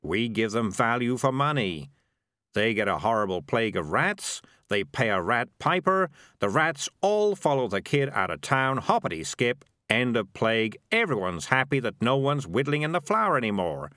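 A man's voice: medium pitch, big pitch swings, moderate pace, bright, forceful tone, dry recording, clean studio sound, voice pushed up. A man voicing a cynical, bossy talking animal schemer who lectures in a wheedling, condescending way in a storybook reading. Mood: cynical, exasperated.

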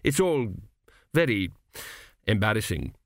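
A man's voice: on the low side, very animated, measured pace, no room sound, studio quality. Man as an awkward, polite young prince. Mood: apologetic. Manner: hesitant, reserved.

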